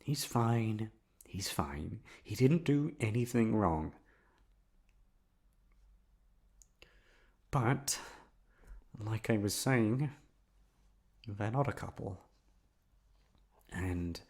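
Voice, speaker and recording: A man voicing an obsessive, jealous, lovesick young man in an intimate ASMR roleplay, soft-spoken, wounded and menacing. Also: fairly low pitch, slightly rough, full character voice. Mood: brooding, wounded.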